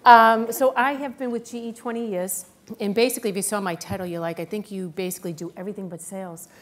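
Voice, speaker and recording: A woman's voice: mid-range voice, steady tone, fast. A middle-aged woman with a thick Boston accent, speaking plainly and candidly as a panelist. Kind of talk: panel talk.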